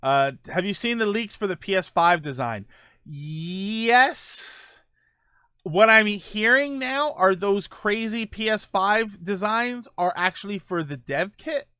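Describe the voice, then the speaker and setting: Bright, dry-sounding male streamer. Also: high voice, animated, slow pace, clear, resonant tone, dry recording. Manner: rambling, with many fillers and restarts. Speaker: streamer host reading viewer questions. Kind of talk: casual live-stream chat.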